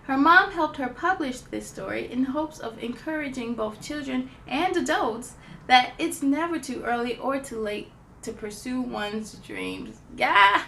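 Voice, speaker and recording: A woman hosting a children's storytime, reading a picture book aloud in an excited, expressive, giggly way. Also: high voice, unhurried, creaky, slight background noise.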